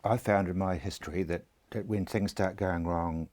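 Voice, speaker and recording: A man's voice: sits low, fairly even intonation, moderate pace, a little mellow. A middle-aged man with a New Zealand accent, a host talking in a reflective, plain-spoken way. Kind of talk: interview conversation.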